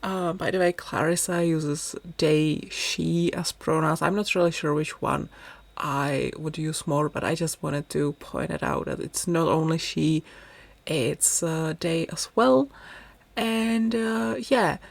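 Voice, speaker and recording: Low-voiced Czech woman, slow and gravelly. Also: no room sound. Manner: chatty, rambling, self-deprecating. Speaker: gaming YouTuber. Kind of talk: casual voice-over commentary.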